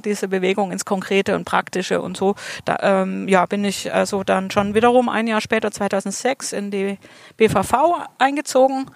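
A woman's voice: mid-range voice, vocal fry, no room sound. A middle-aged woman from southern Germany, a politician chatting in an interview, full of fillers and restarts. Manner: chatty, many fillers and restarts, laughs easily. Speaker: state politician guest. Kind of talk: relaxed interview talk. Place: garden allotment outdoors.

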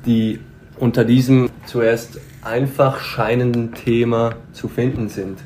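A man, a podcast guest speaking in a measured, articulate way. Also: medium pitch, level tone, darkish tone, a little hiss or hum. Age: 20s. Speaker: guest, kindergarten teacher. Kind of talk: casual interview.

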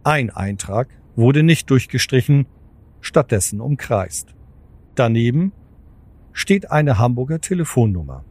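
A man's voice: mid-range voice, very animated, measured pace, a little mellow, no echo. A man reading scripted narration for an audio drama in the role of storyteller. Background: clear background music.

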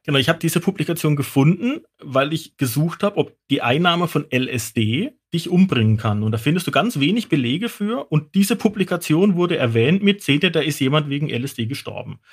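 A man's voice: on the high side, lively intonation, a little fast, hardly any pauses, breathy edge. A man telling stories casually on a podcast, explaining things in an amused, conversational way. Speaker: toxicologist guest expert.

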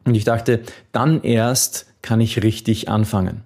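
Gravelly-voiced man coaching earnestly. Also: fairly low pitch, steady tone, measured pace, darkish tone. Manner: earnest, encouraging, with restarts. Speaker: memory technique coach. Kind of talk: advice video answering a viewer question.

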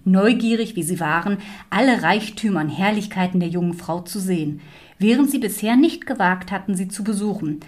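Woman narrating a fairy tale over music. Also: mid-range voice.

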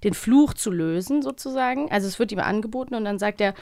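A woman podcast host talks in a casual, conversational way. Her voice sits at a medium pitch and is recorded close and dry. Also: expressive, fast, a little mellow, no room sound. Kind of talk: casual chat.

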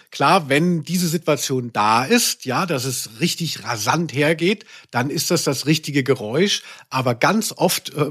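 A man with a somewhat high voice co-hosts a casual, humorous podcast. He talks in wordy sentences with a mock-indignant tone. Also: fairly bright tone, husky. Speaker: podcast co-host.

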